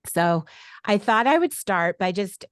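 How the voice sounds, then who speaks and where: Clear, smooth woman moderating a panel. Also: medium pitch, lively intonation, measured pace, dry recording, studio quality. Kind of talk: conversational webinar moderating.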